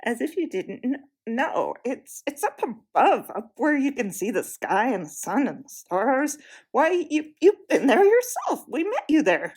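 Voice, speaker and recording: An indignant schoolboy who gets exasperated and trips over his words. A woman reading the story does his voice, pitched up and pushed louder. He sounds impatient with the nonsense around him. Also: sing-song, measured pace, breathy edge, exaggerated voice, poor audio. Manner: exasperated and stammering. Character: indignant schoolboy, quick and cross.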